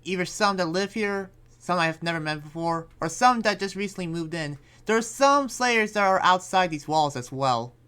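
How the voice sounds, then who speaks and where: A man's voice: sits high, expressive, moderate pace, hardly any pauses, pushed and bright, clean tone. A man playing an earnest, cautious young demon slayer in an improvised anime game roleplay, talking in a hesitant, rambling way. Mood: earnest, thoughtful. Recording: music playing behind voice.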